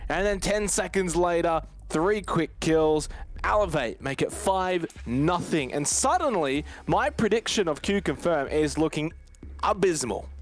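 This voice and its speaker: A man's voice: on the high side, lively intonation, measured pace. A man commentating live on an esports match in a conversational way, with asides to his fellow casters. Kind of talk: live play-by-play and analysis commentary. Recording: gunfire and game noise behind. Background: video game gunfire and clicks.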